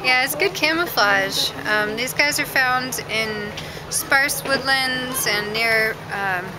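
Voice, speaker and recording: A woman's voice: sits high, slow. A woman volunteer at a zoo explaining owls to visitors in a patient, casual way with small fillers. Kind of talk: casual outdoor animal talk to visitors. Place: outdoors at a zoo. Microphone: far camera. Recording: loud outdoor noise, camera from a distance.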